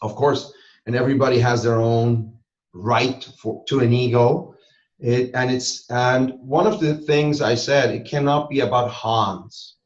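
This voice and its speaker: A man's voice: medium pitch, steady tone, measured pace. A man from Switzerland, talking conversationally as a trainer being interviewed. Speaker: interview guest, hypnosis trainer and school owner. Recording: roomy echo, slightly dull sound.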